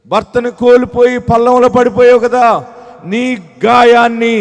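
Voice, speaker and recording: A male pastor giving an impassioned sermon with prayer, proclaiming in repeated phrases and swinging between hushed and loud. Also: extremely high pitch, monotone, normal speed, in a large hall.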